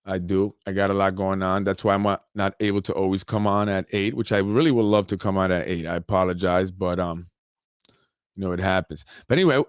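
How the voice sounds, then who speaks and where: A man's voice: fairly low pitch, clear, resonant tone, dry recording. A man hosting a podcast, reading an article aloud and adding rambling, chuckling asides with many restarts, close to the mic. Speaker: podcast host. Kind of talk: casual political commentary reading an article aloud.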